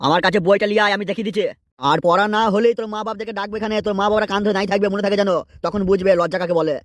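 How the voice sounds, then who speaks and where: A man's voice: sits high, fairly even intonation, rapid-fire, no echo, clean studio sound, lightly acted. A man voicing a stern cartoon schoolteacher who lectures and threatens in a scolding way. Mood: stern, threatening. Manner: lecturing, admonishing. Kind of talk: cartoon comedy dialogue.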